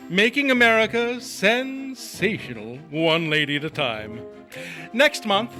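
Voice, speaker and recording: A man hosting and announcing a show in an old-time radio style, showy and formal. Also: sits high.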